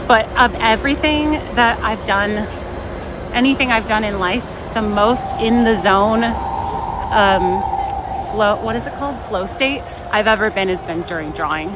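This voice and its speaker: A woman chatting casually on a livestream, playful and teasing, often breaking off and restarting her thoughts as she answers viewers. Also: mid-range voice. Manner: playful, teasing, self-interrupting. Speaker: live streamer reading and answering chat. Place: outdoors in a busy theme park. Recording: noisy outdoor park ambience. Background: outdoor park ambience.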